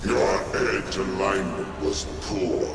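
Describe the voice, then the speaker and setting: An actor playing a huge, domineering alien warlord ghost in a video game, taunting with grandiose, menacing contempt. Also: male voice, low voice, slow pace, very noisy. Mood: scornful. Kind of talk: scripted video game dialogue. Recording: echoing voice under loud music.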